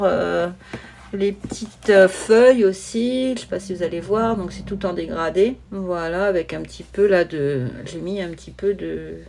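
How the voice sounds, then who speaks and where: Casual woman vlogger, noisy recording. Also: mid-range voice. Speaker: hobby vlogger presenting her coloring projects. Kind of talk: casual chatty vlog. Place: at a desk at home. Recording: noisy home recording with clicks.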